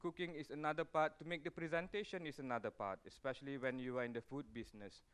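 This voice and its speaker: A man's voice: mid-range voice, fairly even intonation, fast, fairly bright tone, smooth. A man, an executive chef talking through a live cooking demonstration, chatty and full of fillers and restarts. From Malaysia. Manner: chatty, explains steps with many fillers and restarts.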